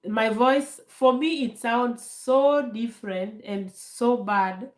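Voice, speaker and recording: A woman from Kenya hosting a casual live Q&A, calm and unhurried, laughing often, still getting over a bad cold. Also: a little high, reverberant. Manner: calm, slow, laughs often, many fillers. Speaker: livestream host. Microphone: phone held to camera.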